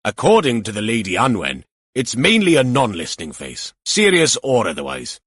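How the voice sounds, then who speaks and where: A man's voice: medium pitch, huge pitch swings, normal speed, fairly bright tone, breathy edge, dry recording, clean studio sound, exaggerated voice. A man voicing a jokey, irreverent male detective with a mock-formal, bantering manner in an audiobook.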